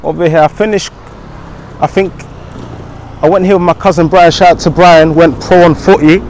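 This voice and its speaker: A man's voice: fairly high pitch, fairly even intonation, normal speed, a little hiss or hum, low-quality recording. A young man with a London accent, talking casually in slang and swearing, recorded outdoors with a clip-on mic. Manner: slangy, swearing, chatty, shout-outs. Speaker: vlogger. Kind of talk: casual walk-and-talk vlog. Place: outdoors on streets by a school, then a garden patio.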